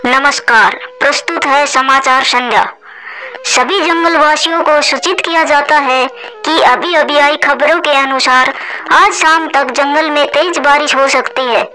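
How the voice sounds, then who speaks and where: A woman acting a formal radio newsreader for a cartoon jungle. Her voice is high and bright, and she announces in bulletin style. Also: unhurried, poor audio.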